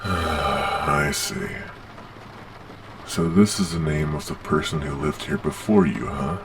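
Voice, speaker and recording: A man's voice: a bass voice, slow, a bit bright, faint music behind, full character voice. A man playing a weary, matter-of-fact monster agent with a businesslike manner in an ASMR roleplay. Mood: weary, let down.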